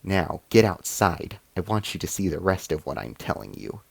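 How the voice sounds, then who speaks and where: Guy reading as cold alien conqueror lady, droning and bossy, low gravelly voice, storybook narration. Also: very animated, moderate pace, dry recording, exaggerated voice. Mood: commanding. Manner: droning, explanatory, commanding. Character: haughty alien female ambassador, cold conquering threat.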